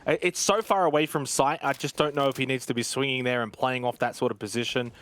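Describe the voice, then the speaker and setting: A man's voice: mid-range voice, steady tone, fast, a bit bright. A man giving live commentary and analysis on an esports match.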